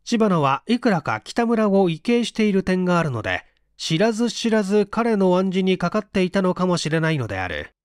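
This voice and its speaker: A male narrator reads fiction in a measured, dramatic way. His voice is on the high side with animated intonation. The recording is very dry and close. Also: clear, resonant tone, dry recording. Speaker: audiobook reader.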